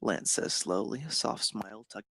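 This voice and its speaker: A man with a creaky, husky voice narrating a romantic story expressively. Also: fairly low pitch, normal speed, fairly bright tone, slight background noise.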